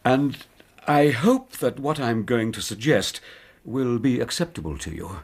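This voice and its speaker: An actor playing a courteous middle-aged widower who speaks politely and haltingly in a radio drama. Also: male voice, medium pitch, lively intonation, breathy edge. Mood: apologetic. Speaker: Bob Carruthers.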